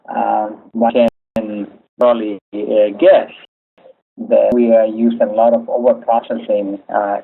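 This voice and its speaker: A man from Chile giving a webinar lecture, speaking haltingly with many "uh" fillers. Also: mid-range voice. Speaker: engineering lecturer. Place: home office. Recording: tinny phone-like audio.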